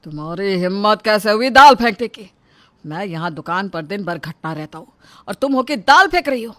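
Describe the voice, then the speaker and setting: A woman's voice: mid-range voice, animated, moderate pace, close to an everyday voice. A woman voicing an angry, domineering middle-aged shopkeeper father-in-law in a moral story, scolding and accusing. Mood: furious. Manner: scolding, accusatory, self-important. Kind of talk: acted dialogue in a moral story.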